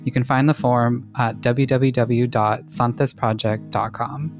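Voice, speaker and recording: A man's voice: medium pitch, fairly even intonation, unhurried. Man, podcast co-host, friendly upbeat outro, faint guitar behind.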